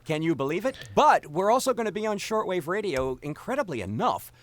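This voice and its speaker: A man chatting casually and telling a story, with halting repetitions and acted-out reported conversations. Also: sits high, big pitch swings, a bit bright, no room sound. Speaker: podcast cast member. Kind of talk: animated casual group chat, storytelling.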